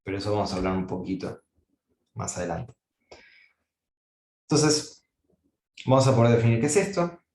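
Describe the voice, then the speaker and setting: A man gives a university-style lecture, working through ideas aloud and pausing on fillers as he goes. His voice sits a little low. The recording carries a noticeable room echo. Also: lively intonation, darkish tone. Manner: thinking aloud, frequent "eh" fillers and restarts. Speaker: mathematics lecturer. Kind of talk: academic lecture at a whiteboard.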